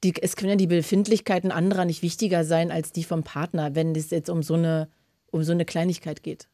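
Woman radio host, quick chatty delivery, dry close studio sound. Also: lowish voice, level tone, dry recording. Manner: chatty, warm-hearted advice, fast talking. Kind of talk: casual radio phone-in chat.

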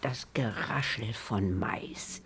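An actress playing a wistful, dreamy village woman in a radio play, speaking in a halting, dramatic way. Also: rumbling low, a bit bright, in a whisper, exaggerated voice. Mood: haunted. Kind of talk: naturalistic radio play dialogue.

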